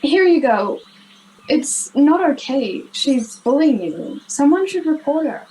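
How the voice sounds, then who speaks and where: A woman's voice: high-pitched, big pitch swings, slow pace, mellow, heavily acted, low-quality recording. A girl of ten or eleven, playing a concerned, protective schoolgirl in a radio play. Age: child, 10 to 11. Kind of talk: children's radio play acting.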